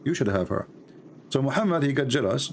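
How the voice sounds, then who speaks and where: A man's voice: medium pitch, big pitch swings, quick pace, slight background noise. A man with an Arabic accent hosting a live call-in debate, insistent and often asking for agreement. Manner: insistent, repeats points, asks for agreement. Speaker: show host.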